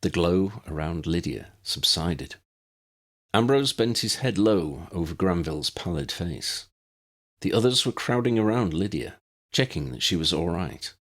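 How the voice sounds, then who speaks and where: A man narrates a fantasy audiobook in a low, husky voice. His pitch moves freely as he reads, giving the scripted prose an animated shape. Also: a little slow.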